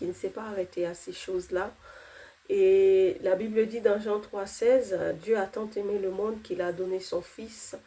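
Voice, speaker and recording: Woman, 30s, non-native French speaker, personal faith testimony, earnest, mellow dark tone, halting restarts. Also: mid-range voice. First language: not French.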